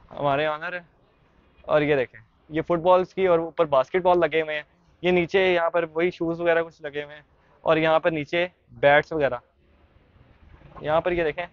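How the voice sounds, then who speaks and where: A man's voice: a little high, clean tone, no room sound, lo-fi sound. A young man with a Pakistani Urdu accent, chattily guiding viewers through a sports market and shop. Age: young adult. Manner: chatty guide, frequent fillers and restarts. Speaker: vlogger. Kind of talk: walking market tour vlog.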